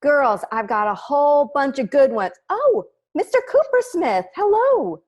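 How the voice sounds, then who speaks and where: A woman's voice: high-pitched, sing-song, measured pace, darkish tone, lo-fi sound. A woman playing a chirpy, doting, pushy sitcom mother in a table read over a video call. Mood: delighted, chatty. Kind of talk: comedy script table read. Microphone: computer on video call.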